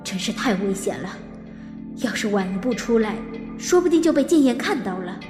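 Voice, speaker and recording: A woman's voice: on the high side, expressive, moderate pace, over music. An actress playing a secretive, calculating woman's introspective inner monologue in an audio drama, with a reverberant thought effect. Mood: anxious, relieved. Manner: introspective, narrating own thoughts. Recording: long echoing reverb on voice.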